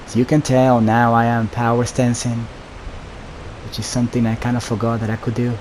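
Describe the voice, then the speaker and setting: Flat-toned man doing casual game commentary, hissy. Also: medium pitch, brisk. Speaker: gameplay host. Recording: steady background hiss.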